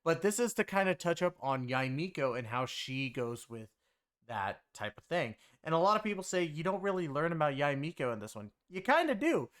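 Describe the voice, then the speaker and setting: A man's voice: fairly high pitch, very animated, a little fast, a bit bright. A man giving a casual, rambling game story review in a conversational way, leaning on filler phrases. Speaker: game reviewer and content creator.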